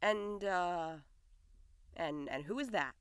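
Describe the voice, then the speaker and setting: A woman's voice: fairly low pitch, slow, smooth, no echo. A woman playing a bewildered hunter posing as a king in an audio drama, hesitant and bluffing. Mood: confused.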